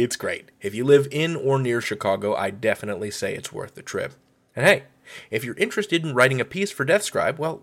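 A man's voice: medium pitch, a bit bright. A man hosting a podcast, giving a conversational scripted introduction. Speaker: podcast host.

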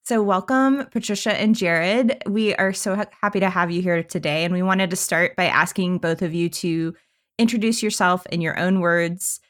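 A woman's voice: on the low side, moderate pace, a bit bright. A woman co-hosting a podcast, welcoming guests and asking questions in an upbeat, curious way. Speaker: podcast co-host.

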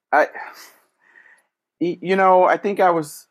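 A man who works as a social worker, a guest in a casual conversation, speaking thoughtfully and hesitantly with frequent pauses and fillers. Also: sits high.

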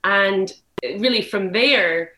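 A woman's voice: medium pitch, slow pace, bright, forceful tone. Scottish woman in an echoey room. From Glasgow, Scotland. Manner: candid, thoughtful, restarts phrases often. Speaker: journalist guest. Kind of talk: interview answers.

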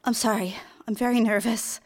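Casting: actress for an earnest, lovestruck young office girl, higher-pitched, easily flustered, for a live radio play. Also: fairly even intonation, normal speed, close to an everyday voice. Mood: flustered, nervous. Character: nervous, smitten young female typist, new at the office. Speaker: actor. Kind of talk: live radio drama acting with confessional narration.

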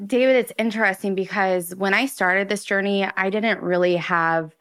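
A woman's voice: mid-range voice, moderate pace, clean tone. Candid chatty woman podcast guest. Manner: chatty, candid, animated storytelling. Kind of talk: conversational interview answers.

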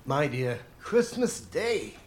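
An actor playing a gentle, affectionate poor father in a live radio play reading. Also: medium pitch, sing-song, slow. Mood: gently pleading.

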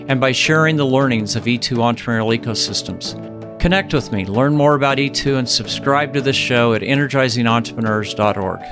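A man hosting a podcast, reading a scripted introduction and then chatting with his guests in an upbeat, welcoming way. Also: medium pitch. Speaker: podcast host.

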